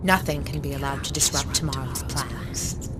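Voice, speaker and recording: A woman playing a cool, secretive female vampire in a video game cutscene, formal and controlled, slipping toward a whisper. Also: normal speed. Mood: smooth, conspiratorial. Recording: clear rumbling noise behind the voice.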